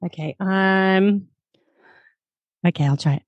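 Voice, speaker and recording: A woman's voice: medium pitch, slow, no echo, highs cut off. A woman, a podcast host and audiobook narrator, chatting casually and laughing often, recorded in a small foam-lined home booth. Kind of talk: casual chat.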